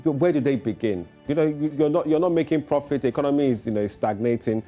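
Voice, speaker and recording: A man's voice: medium pitch, animated, quick pace, warm tone, muffled recording, soft background music. A man anchoring a morning news show, presenting with many fillers and hesitations.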